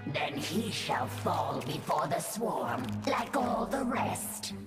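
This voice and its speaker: A woman's voice: low-pitched, sing-song, slow pace, full character voice. An actress playing a loyal, haughty insect-like broodmother in a video game, speaking formally and imperiously. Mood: haughty, devoted. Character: loyal, haughty female insectoid broodmother serving her queen. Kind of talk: dramatic video game acting. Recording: distant cavernous echo with music.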